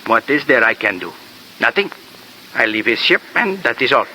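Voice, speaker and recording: Swooping, police sergeant. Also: male voice, medium pitch, moderate pace. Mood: resigned, frustrated. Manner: non-native phrasing, flatly dismissive. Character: resigned, fatalistic Filipino police sergeant. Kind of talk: old-time radio drama acting. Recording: slightly noisy old radio recording.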